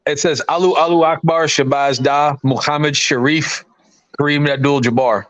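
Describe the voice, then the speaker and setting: A man in his late thirties on a podcast, casually telling stories and building up to loud punchlines. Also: a little high, steady tone, moderate pace, dry recording, low-quality recording. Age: 30s. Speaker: podcast host. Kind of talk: casual banter.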